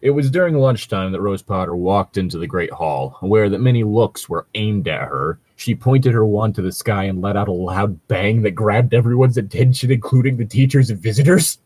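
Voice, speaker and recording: A man's voice: fairly low pitch, moderate pace, hardly any pauses, a little mellow, breathy edge, dry recording. A man co-hosting a podcast in casual chat, swearing freely and complaining dryly as he reads and picks things apart. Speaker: podcast co-host. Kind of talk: casual chat, reading and mocking fan fiction.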